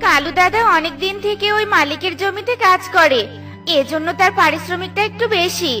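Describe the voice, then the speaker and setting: A woman's voice: very high voice, a little fast, sharp tone, faint music behind. A woman voicing a caring, hardworking little mother bird with a sensible manner in a children's cartoon. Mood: reasoning.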